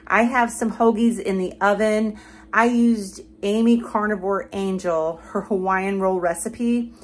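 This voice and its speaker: Older woman, home cook vlogger, chatty step-by-step explainer, mid-pitched, kitchen setting. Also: steady tone, unhurried. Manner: chatty, explains each step plainly with fillers. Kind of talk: casual cooking vlog.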